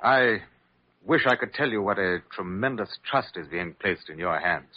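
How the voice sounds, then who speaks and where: An actor playing a guarded, insistent gentleman in an old radio drama, speaking formally and precisely. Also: low voice, lively intonation, moderate pace. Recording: dull vintage radio recording.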